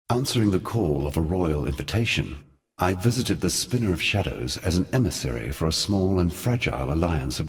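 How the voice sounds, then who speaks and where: An actor playing an ancient, brooding god in a fantasy game, speaking in solemn, ornate and deliberate phrases like a theatrical monologue. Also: male voice, sits low. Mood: grave, brooding. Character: ancient grave god, former lover of gods, brooding and eloquent.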